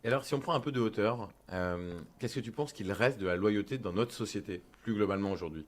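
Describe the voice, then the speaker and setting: A man's voice: lowish voice, a little fast. A man hosting an interview, putting prepared questions to his guest with frequent filler hesitations. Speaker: interview host.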